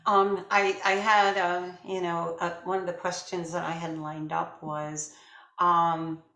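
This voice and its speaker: A woman's voice: lowish voice, little pitch movement, takes it slowly, a bit bright. Woman host in an echoey room. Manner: rambling, informal, frequently laughing and agreeing. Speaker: interview host. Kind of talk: casual conversational interviewing.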